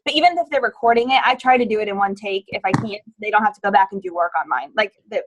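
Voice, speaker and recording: A woman's voice: mid-range voice, fast talker, rough recording. A woman speaking as a podcast guest on a video call, thoughtful and a bit rambling. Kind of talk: casual video call chat.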